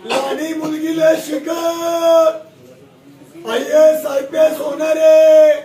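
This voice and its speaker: A man telling fortunes like a street performer, calling out loudly in a sing-song, declaiming chant. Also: extremely high pitch, flat delivery, very slow. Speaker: itinerant fortune-teller with sacred bull. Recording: echoey, distant, noisy crowd recording.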